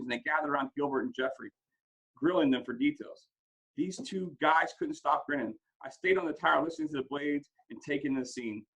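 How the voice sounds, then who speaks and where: Male storybook reader, husky with some fry, expressive read-aloud delivery with the odd stumble. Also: mid-range voice, poor audio. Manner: dramatic, acts out dialogue, stumbles over words now and then. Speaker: school principal reading a book aloud. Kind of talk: expressive audiobook-style read-aloud of a first-person story.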